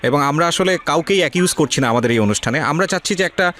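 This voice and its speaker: A Bangladeshi man interviews on radio with a fairly high voice and a quick pace. He is persistent yet measured as he questions, with faint noise behind him. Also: lively intonation, a bit bright. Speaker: radio show host interviewing. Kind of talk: probing interview. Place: radio studio.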